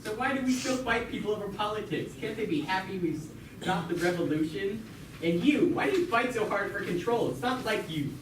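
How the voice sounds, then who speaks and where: A woman's voice: medium pitch, expressive, fairly quick, close to an everyday voice. A woman playing an earnest, worried comrade pleading for reason in an amateur comic puppet show. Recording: echoey distant recording with clear noise.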